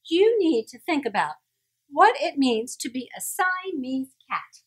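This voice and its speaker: An exasperated storybook mother cat, played by a woman, high-pitched and swooping widely. She lectures sternly, hitting her words hard and slowly. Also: big pitch swings. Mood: lecturing.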